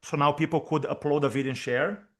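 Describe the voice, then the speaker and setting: A man's voice: fairly high pitch, steady tone, fast talker, fairly bright tone. A man from Brazil with a Brazilian Portuguese accent, whose first language is Portuguese, talking energetically as a speaking coach on a video call and repeating key points for emphasis. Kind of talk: interview guest on video call.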